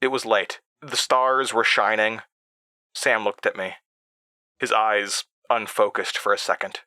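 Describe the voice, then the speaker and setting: An actor playing an anxious, rattled man who haltingly recounts eerie memories, stammering and interrupting himself, in a horror audio drama. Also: on the low side, little pitch movement, bright, forceful tone. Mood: uneasy recounting. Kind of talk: first-person horror audio drama monologue.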